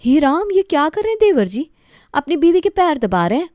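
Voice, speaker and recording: A woman's voice: sits high, big pitch swings, a little fast, darkish tone, no room sound, clean studio sound, voice pushed up. A woman voicing a gossipy, mocking young sister-in-law in a family comedy story, exclaiming and taunting. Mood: scandalised.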